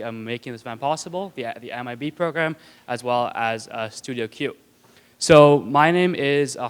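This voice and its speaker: A man's voice: medium pitch, fairly bright tone, clear, resonant tone. A young man in his twenties from India gives an earnest student talk on stage and stutters audibly, repeating words and sounds, with light jokes for the audience. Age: 20s. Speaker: student speaker. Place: auditorium stage. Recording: long hall reverb.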